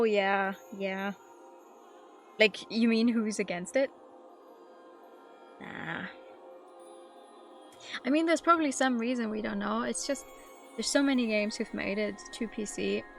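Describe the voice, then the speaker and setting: A woman's voice: medium pitch, gravelly. Woman streamer just chatting w viewers, informal and conversational, music playing behind her. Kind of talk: casual chat while live streaming a game. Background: background music.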